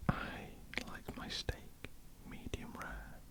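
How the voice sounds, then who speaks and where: A woman with a croaky voice, whispering ear to ear for an ASMR question-and-answer video. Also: slow pace. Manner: soft unvoiced whisper with frequent ums. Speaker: ASMR artist. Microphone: ear-to-ear stereo mic.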